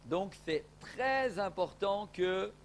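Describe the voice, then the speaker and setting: A man's voice: high voice, lively intonation, slow, a little hiss or hum. A man from Portugal preaching a sermon as an evangelist in a church, emphatic, with rising exclamations.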